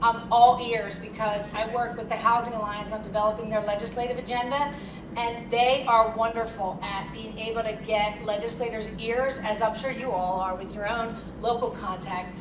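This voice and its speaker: Woman with a Philadelphia accent, chatty keynote speaker, pitched a bit high, picked up from afar in an echoey, noisy hall. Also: moderate pace, far from the mic. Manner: lively, conversational and chatty. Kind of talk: conference keynote talk. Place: conference hall.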